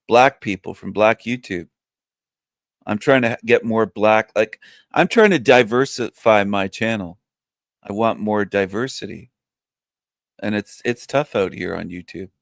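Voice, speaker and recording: An older man hosting a livestream, chatting in a rambling, joking way and laughing often. His voice is strong and low-pitched and sounds younger than his years. The sound is dry and close. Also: a little slow, dry recording. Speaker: livestream host. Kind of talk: casual livestream chat. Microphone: webcam setup, camera off.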